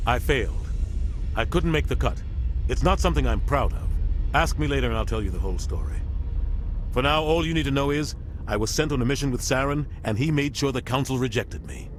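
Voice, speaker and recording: A man voicing a weary older military captain in a video game, speaking in a grave, measured, plain-spoken way. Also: mid-range voice, over music, lightly acted. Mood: regretful. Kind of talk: dramatic video game dialogue.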